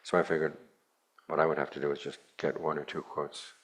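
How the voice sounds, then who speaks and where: A man, a Buddhist monk, giving a plainspoken, measured dharma talk to a retreat group with a dry, wry touch. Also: a bass voice, flat delivery.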